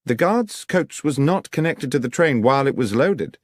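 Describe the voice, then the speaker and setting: A man playing a brisk, self-assured gentleman detective with a crisp, formal, commanding manner in an audiobook. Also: mid-range voice, expressive, no room sound, studio quality, voice pushed up, close to an everyday voice. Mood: brisk, inquiring.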